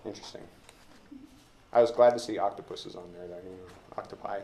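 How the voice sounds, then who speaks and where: A man's voice: on the low side, fairly even intonation, mellow. An American man leading an informal classroom discussion of a play, rambling and joking as he feels his way through. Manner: halting, rambling, colloquial. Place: indoor classroom. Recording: roomy classroom echo, background hiss.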